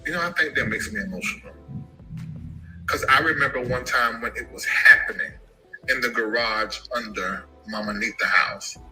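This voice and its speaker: A man telling an emotional personal story about his family, animated and given to sudden high shouts. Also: normal speed, faint music behind, low-quality recording. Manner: animated, jumps into high-pitched shouts when quoting.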